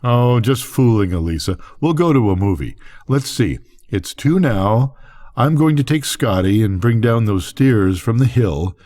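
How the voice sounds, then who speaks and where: An easygoing rancher husband from a bedtime story, played by an older man reading aloud. He talks in a folksy, teasing way with very lively swings of pitch. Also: measured pace, close to an everyday voice. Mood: joking, easygoing.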